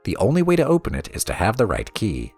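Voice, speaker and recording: A man playing a quiet, studious young woman lockpicking student in a storybook reading, calm, polite and measured. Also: fairly low pitch, husky, faint music behind, voice pushed up, exaggerated voice.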